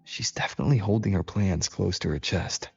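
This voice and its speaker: An actor playing a calm, thoughtful young hero in a video game, speaking in a measured, low-key, gravelly voice. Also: male voice, full character voice. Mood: composed, earnest. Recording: dull lo-fi game audio, faint music.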